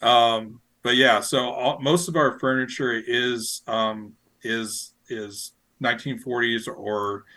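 A man's voice: mid-range voice, fairly even intonation, slow pace, few pauses, pushed and bright. A man chatting casually as a radio station operator in an interview, rambling and repeating his phrases as he feels for words. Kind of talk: casual podcast interview chat.

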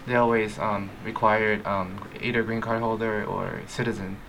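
Bright-voiced hesitant actor. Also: male voice, lowish voice, steady tone, moderate pace, creaky, slight background noise. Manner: hesitant, many fillers. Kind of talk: interview answers.

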